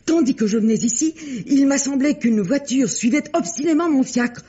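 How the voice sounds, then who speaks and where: An actress playing an anguished, self-sacrificing married woman in a French radio melodrama, breathy, sighing and pleading. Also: high voice, expressive, moderate pace, warm tone. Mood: alarmed. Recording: muffled old radio drama recording.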